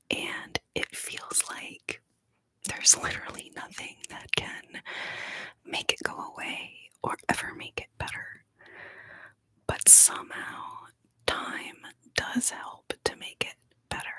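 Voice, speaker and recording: A woman whispering softly and slowly to her viewers, tearful and emotional, with frequent pauses. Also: rough recording. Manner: soft, slow, emotional, pauses often. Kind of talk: whispered ASMR chat to viewers.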